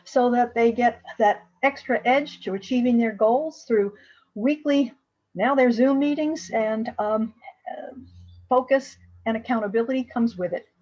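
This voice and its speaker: A woman with a slightly high voice pitches her coaching business haltingly. The call audio is a bit dull. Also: darkish tone.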